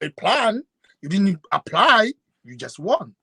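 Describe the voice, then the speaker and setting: A middle-aged man from Tanzania, a guest telling his story in an interview in an earnest, measured way. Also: sits high, big pitch swings, creaky, bright, forceful tone, husky, no echo, clean studio sound. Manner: earnest, measured, with fillers and restarts. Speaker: guest YouTuber.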